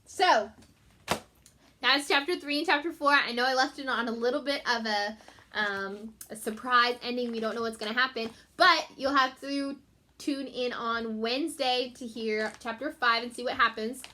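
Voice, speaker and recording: Bright-voiced woman reading to children. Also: fairly high pitch, normal speed. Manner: expressive, simple teacherly explaining. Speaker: teacher reading aloud to pupils. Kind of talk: children's storybook read-aloud with chatty asides.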